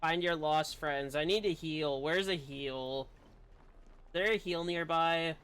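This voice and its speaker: Male game streamer, slightly high voice, unhurried, bright, casual live reactions. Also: smooth.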